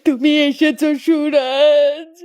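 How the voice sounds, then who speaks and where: Woman voicing a high-voiced, sorrowful young ghost. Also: flat delivery, takes it slowly, a little mellow. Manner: mournful, solemn, earnest. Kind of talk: melodramatic cartoon voice acting.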